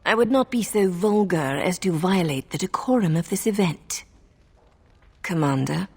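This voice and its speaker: A woman playing a haughty, calculating noblewoman in a fantasy game, husky and creaky, with a cold, formal manner. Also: on the low side, expressive, normal speed, a little mellow. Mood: cool, disdainful. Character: haughty, calculating noblewoman who rules a city. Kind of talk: scripted fantasy game dialogue.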